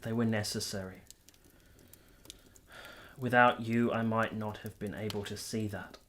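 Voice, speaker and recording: An actor playing a lovestruck, conflicted nineteenth-century man in a radio drama, speaking in earnest, measured, literary phrases. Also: fairly low pitch, steady tone, fairly bright tone, slight background noise. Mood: tender, hushed. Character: Giorgio, lovestruck, conflicted 19th-century Italian man, confessional narrator. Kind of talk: radio drama narration and intimate dialogue.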